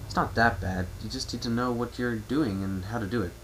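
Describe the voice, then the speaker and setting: A man's voice: medium pitch, fairly quick, fairly bright tone, natural voice. A man playing a young male pony traveler who talks in a polite, casual, slightly hesitant and reserved way. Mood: guarded. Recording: noisy amateur home recording.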